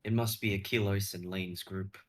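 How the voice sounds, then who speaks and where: Man acting a deep, brusque underworld guide. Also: fairly even intonation, moderate pace, close to an everyday voice. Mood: matter-of-fact. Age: young adult.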